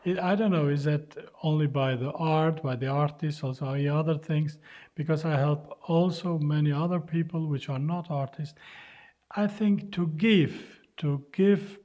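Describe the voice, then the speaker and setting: A man's voice: fairly high pitch, a little slow, warm tone. A man from North Macedonia, answering interview questions earnestly and searching for English words. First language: Albanian. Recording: dull lo-fi sound.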